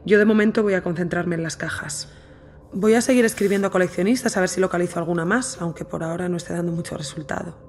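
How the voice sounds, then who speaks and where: An actress with a Spanish accent from Spain playing an earnest, reflective woman investigator who narrates her audio documentary in a measured, intimate way. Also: lowish voice, a little mellow, slight background noise, close to an everyday voice. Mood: pensive. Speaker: podcast narrator and protagonist. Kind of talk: intimate scripted narration and natural dialogue in an audio drama.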